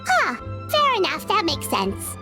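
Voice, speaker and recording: A tiny fairy sidekick from a video game with an extremely high, squeaky child's voice. The delivery swings widely in pitch, eager and vain, over prominent music. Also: female voice, huge pitch swings, measured pace, full character voice. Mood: curious. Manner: refers to self in third person, exclaiming. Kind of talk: video game character acting.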